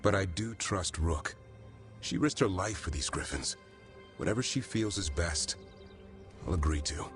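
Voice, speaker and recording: An actor playing an earnest, caring man with a solemn, measured delivery in a cinematic game scene. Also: sits low. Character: earnest, self-doubting man, caring guardian of griffins. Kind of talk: cinematic video game acting. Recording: clear music under the voice.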